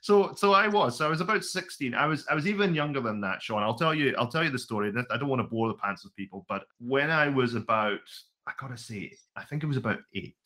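A man's voice: medium pitch, fast talker, hardly any pauses, sharp tone. A Scottish man in his forties, a podcast co-host telling stories with wry, self-deprecating humor. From Scotland. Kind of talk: casual podcast chat. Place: home room full of vintage computers.

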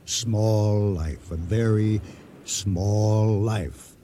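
A man acting a boastful, scheming sea-adventurer and hijacker in a radio drama, with a deliberate, insinuating delivery full of dramatic pauses. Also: fairly low pitch, warm tone, slight background noise. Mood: persuasive, scheming. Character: boastful, scheming male sea-adventurer turned ship hijacker, silkily persuasive.

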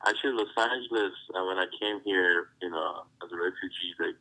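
A man from Iran with an Iranian accent, Farsi his first language, speaking as a pastor in an interview, halting and searching for words. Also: low voice, bright, forceful tone, low-quality recording. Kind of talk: interview answers.

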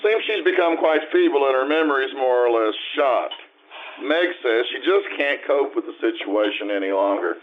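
Guy playing kindly old radio show dad, tactful, lively intonation, thin sound w a bit of noise. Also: mid-range voice, normal speed, narrow, tinny audio, lightly acted. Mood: reluctant. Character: gentle, tactful 1950s husband and father. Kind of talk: old-time radio drama acting.

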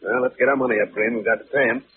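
An actor playing a bantering gentleman clubman with a wry, clipped conversational manner in an old-time radio drama. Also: medium pitch, fairly even intonation, rapid-fire, phone-quality sound, natural voice. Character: bantering male clubman, a gentleman billiard player. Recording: band-limited vintage radio recording, faint noise.

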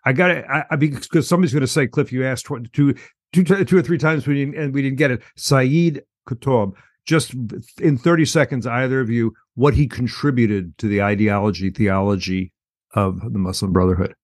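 A man's voice: mid-range voice, normal speed, no echo. Male host, wry and conversational, mid-range voice, dry close sound. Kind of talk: panel discussion.

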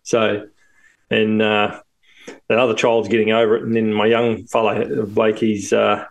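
A man's voice: fairly low pitch, unhurried, vocal fry, poor audio. Laid-back New Zealand man chatting. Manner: laughs often, relaxed banter. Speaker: podcast co-host. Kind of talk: casual chat. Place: home shed.

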